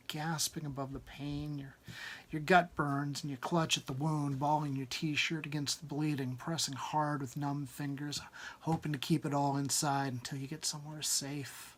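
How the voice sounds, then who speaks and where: A man reading his own short story aloud as narration, with deliberate, dramatic phrasing. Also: a little high, fairly bright tone. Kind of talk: dramatic second-person story narration after casual introduction.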